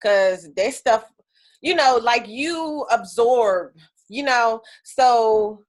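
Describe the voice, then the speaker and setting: A woman's voice: a little high, very slow. Chatty woman teaching on a video call. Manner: chatty and animated, many fillers. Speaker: energy healing teacher. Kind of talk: casual live class on a video call.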